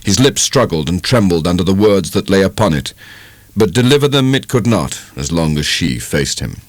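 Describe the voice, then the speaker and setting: A man with a British English accent giving a measured, dramatic reading of a literary novel as an audiobook narrator. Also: low voice, no echo. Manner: measured, expressive storytelling.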